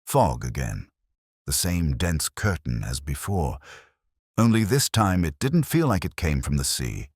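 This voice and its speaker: Super low husky guy narrating a mystery story, lively up and down, dry close sound. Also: a little slow, dry recording. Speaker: audiobook-style narrator.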